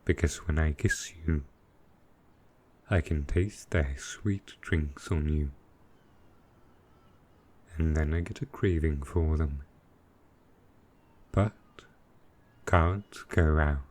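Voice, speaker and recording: A man plays a very low-voiced male dragon in an intimate boyfriend roleplay. He speaks slowly and tenderly, with hesitant pauses. He is affectionate and possessive, and a little lost with modern technology. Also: a little mellow, heavily acted. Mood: tender, teasing. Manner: slow, tender, hesitant speech.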